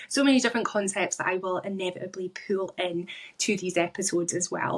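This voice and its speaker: A woman from Scotland with a Scottish accent, talking solo to her listeners as a podcast host and life coach, enunciating deliberately. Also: medium pitch, a bit bright, poor audio.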